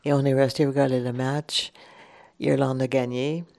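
A woman's voice: a bass voice, flat delivery, moderate pace, vocal fry, a little mellow. A woman from Ireland, speaking French as an as a radio guest, hesitant and searching for words. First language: English. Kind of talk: relaxed radio chat.

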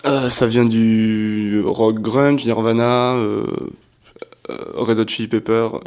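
A male musician speaks as a radio guest in a slightly low voice. He answers casually and hesitantly, pausing on fillers and restarting his sentences as he thinks. The conversation stays relaxed and unpolished. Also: clear, resonant tone. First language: French. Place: radio studio.

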